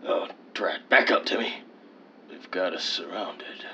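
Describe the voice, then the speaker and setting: An actor playing a folksy, cocksure, bloodthirsty war hero with hammy bravado in an old-time radio adventure parody. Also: male voice, sits low, a little slow, pushed and bright, muffled recording, slight background noise. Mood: grudging, wary.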